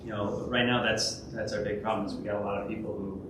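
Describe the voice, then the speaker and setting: A man's voice: on the low side, level tone, fast. A man giving a conference talk and taking questions, hesitant, with many fillers and restarts. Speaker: conference speaker. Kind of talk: presentation and Q&A. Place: large event hall. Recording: echoey hall from a distance, noisy.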